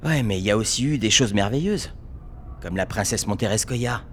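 A man's voice: fairly low pitch, lively intonation, normal speed, a bit bright, slight background noise. Man playing a dreamy young male conscript. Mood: idealistic. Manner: casual, slangy. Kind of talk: audio drama acting.

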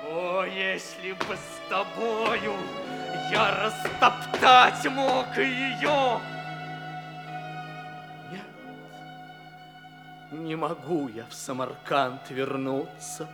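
An actor playing a grief-stricken, loyal friend in a radio tragicomedy, declaiming in a wailing, overwrought, drawn-out way. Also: male voice, high-pitched, lively intonation, creaky, roomy sound, big-room sound, music in the background, exaggerated voice. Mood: grieving, overwrought.

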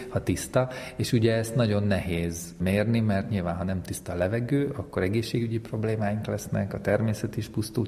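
A man speaks as an academic expert in an interview, with a low, gravelly voice. His tone is mellow and reflective, and the sound carries a long hall reverb. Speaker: university economics lecturer, interviewed expert. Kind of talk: explanatory interview talk.

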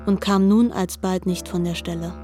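A woman's voice: on the low side, steady tone, moderate pace, warm tone, faint music behind, lightly acted. A woman narrating a literary radio drama as a grave, articulate storyteller.

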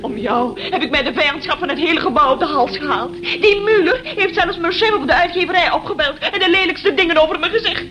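A woman's voice: extremely high pitch, expressive, fairly quick, hardly any pauses. An actress in a radio play playing an anxious, plaintive woman who pleads fearfully for her partner, on a noisy old recording. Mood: plaintive, reproachful. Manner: plaintive, imploring.

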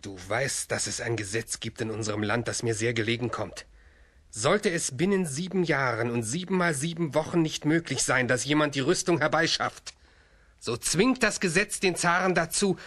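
An actor playing an evil, arrogant grand duke in a children's radio play, haughty and menacing. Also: male voice, a little high, moderate pace, fairly bright tone. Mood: smug, threatening.

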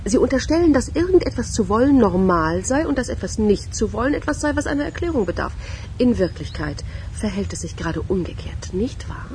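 An actress playing a cool, sharp-tongued woman with a dry, ironic manner in a radio drama. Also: fairly high pitch, very animated, a little fast, slight background noise. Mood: curt, defiant.

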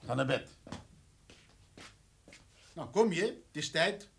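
An unbending, self-righteous father in a radio play. He speaks curtly, his husky voice rising and falling markedly. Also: fairly high pitch, animated, slow pace, a bit bright, heavily acted. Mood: stern. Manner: curt, authoritarian. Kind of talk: radio drama acting.

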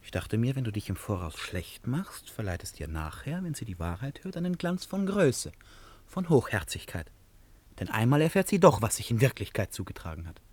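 A man's voice: medium pitch, big pitch swings, normal speed, a little mellow, breathy edge. A man acting in a German radio drama as an eccentric, nervous, talkative man, theatrical and swinging between confiding and outbursts. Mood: intense, confiding.

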